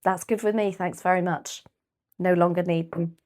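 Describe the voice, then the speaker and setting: A woman with a British accent giving a casual tarot reading, chatty and rambling, her voice a little croaky and often clearing her throat. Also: on the low side, steady tone, moderate pace, a little mellow. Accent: British English. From United Kingdom. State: slightly croaky voice. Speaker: tarot reader.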